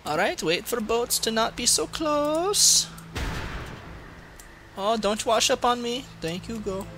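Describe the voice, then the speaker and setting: A man's voice: very high-pitched, lively intonation, takes it slowly, bright, forceful tone, slight background noise, heavily acted. A man putting on a Russian accent as a crude, boastful, grumbling gamer, giving comic gameplay commentary in broken English. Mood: taunting, excited. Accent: put-on Russian. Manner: crude, swearing, mock-menacing, broken English.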